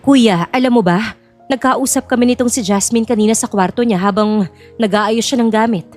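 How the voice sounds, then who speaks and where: A woman's voice: a little high, normal speed, a little mellow, soft background music, lightly acted. A woman playing a scheming middle-aged sister-in-law in an audio drama, insinuating and sugary, with a Filipino accent.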